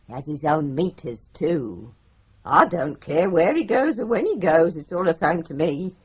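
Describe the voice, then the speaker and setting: An actress playing a blunt, chatty older landlady in a radio play, with a husky voice. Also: deep voice, very animated, measured pace, heavily acted. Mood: offhand. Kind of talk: radio drama acting. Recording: dull lo-fi radio recording.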